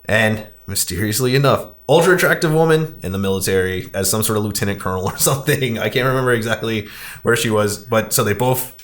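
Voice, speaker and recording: Low-pitched man recapping casually. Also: fast talker, fairly bright tone. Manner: conversational, heavy use of "like". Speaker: podcast host. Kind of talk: casual movie discussion, recapping a plot.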